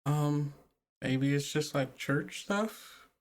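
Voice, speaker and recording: A man's voice: a little high, drawn-out words, pitched above the performer's own voice. Man playing an unsure, casual young girl. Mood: unsure guessing. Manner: tentative, rambling.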